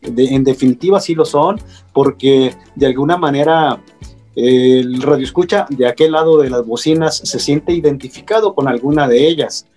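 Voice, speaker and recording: A man from radio speaking on a panel in a mid-pitched voice. He halts and repeats himself often as he works out his points. Faint music sits underneath. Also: moderate pace, a little mellow. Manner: hesitant, many fillers and repeats. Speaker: radio broadcaster guest. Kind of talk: casual panel chat.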